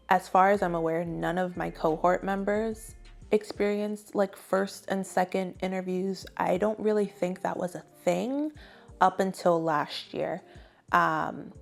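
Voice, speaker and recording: Low-pitched young woman with creaky voice. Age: young adult. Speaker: video host, graduate student giving advice. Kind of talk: casual advice talk to viewers.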